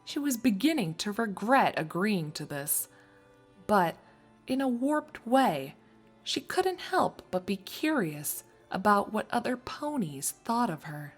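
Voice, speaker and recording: A woman's voice: medium pitch, very animated, takes it slowly, husky, faint music behind. A woman narrating a scripted fan fiction story as a storyteller.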